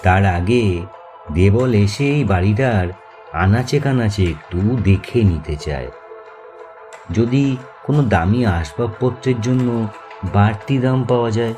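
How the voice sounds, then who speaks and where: Slow, low-voiced male horror storyteller. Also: dark tone. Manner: measured, deliberate, suspenseful pauses. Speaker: narrator, host. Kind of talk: dramatic scripted horror story narration. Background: faint music.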